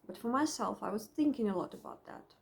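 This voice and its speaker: A middle-aged woman with a Russian accent, a psychologist speaking at home in a thoughtful, halting way, with frequent pauses and restarts. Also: fairly high pitch, animated, vocal fry, a little hiss or hum. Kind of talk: personal reflection to camera.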